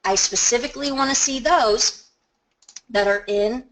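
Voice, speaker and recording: A woman presenting a software training webinar, explaining each step in a conversational, instructional way and stressing key words. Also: fairly high pitch, a little slow, vocal fry, slight background noise, low-quality recording. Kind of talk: instructional screen-share walkthrough.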